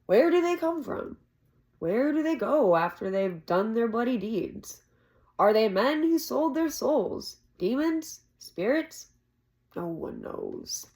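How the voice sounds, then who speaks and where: A woman voicing a proud, sharp old man telling dramatic tales in a tavern, in a theatrical, emphatic way. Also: a little high, sing-song, slow, pitched above the performer's own voice, heavily acted. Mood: mysterious, dramatic.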